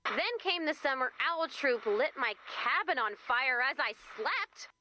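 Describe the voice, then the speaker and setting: An actress playing a young, perky camp leader in a video game, chatty, expressive and wryly exasperated. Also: female voice, sits high, measured pace, a little hiss or hum, heavily acted. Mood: wry, incredulous. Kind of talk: video game character voice lines.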